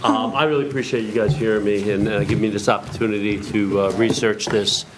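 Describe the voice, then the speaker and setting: A hoarse man giving a halting, formal presentation to a school board, full of fillers. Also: on the low side, steady tone, heavy background noise. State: hoarse, lost voice.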